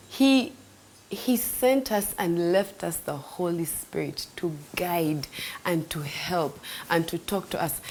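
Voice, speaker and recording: A young woman, telling a faith testimony in an earnest, animated way that sometimes drops to a soft near-whisper. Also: fairly low pitch, slight background noise. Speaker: host sharing a faith testimony. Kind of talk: devotional storytelling.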